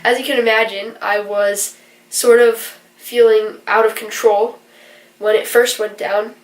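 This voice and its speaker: A woman's voice: a little high, fairly even intonation, a little slow, distant mic. A stuffy-nosed young woman, sick with a virus, earnestly explaining things in a rambling casual vlog, with plenty of fillers. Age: young adult. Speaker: vlogger and college student. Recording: roomy echo, from away from the mic.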